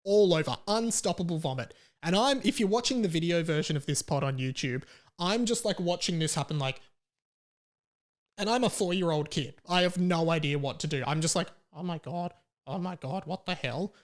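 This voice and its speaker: A man's voice: a little high, moderate pace. Man telling a comic story, podcast style. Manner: casual swearing. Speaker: podcast host. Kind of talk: casual comedic storytelling.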